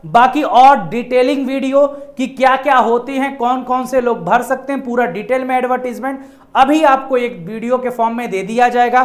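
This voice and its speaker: A man in his thirties, an exam coaching teacher, explaining a job notice to students in a casual way, often repeating key points. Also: very high-pitched. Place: indoor studio in front of a projected screen.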